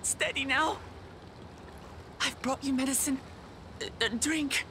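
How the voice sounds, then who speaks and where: A woman's voice: sits high, slow pace, noisy background. An actress playing a devoted, distraught young woman in a game cutscene, speaking in an earnest, pleading way. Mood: anxious, pleading. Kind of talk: dramatic video game cutscene acting.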